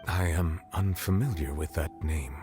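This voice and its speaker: A man playing a calm, grave figure of authority in a video game, speaking in a measured, formal way. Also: extremely low pitch, loud music behind the voice. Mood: guarded, questioning.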